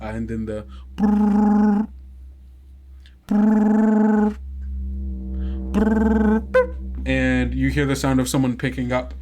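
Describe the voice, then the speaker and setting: A game master improvising narration for a tabletop game, often thinking aloud in a halting way. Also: mid-range voice, big pitch swings, warm tone.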